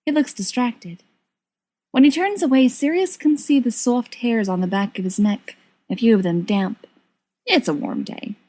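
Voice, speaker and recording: A woman reading scripted fiction aloud as an expressive narrator. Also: on the high side, normal speed. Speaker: podfic reader.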